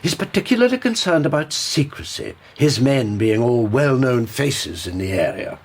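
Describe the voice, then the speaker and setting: A husky detective agency chief. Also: male voice, medium pitch, very animated. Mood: brisk. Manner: brisk, good-humoured. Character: Victorian detective agency head, middle-aged man.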